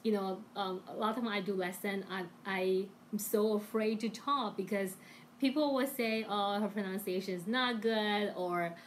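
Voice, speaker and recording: Chinese accent lady co-hosting a live lesson, halting english, encouraging, medium pitch, slightly noisy. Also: fairly even intonation. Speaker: co-host and language teacher. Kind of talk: casual live chat.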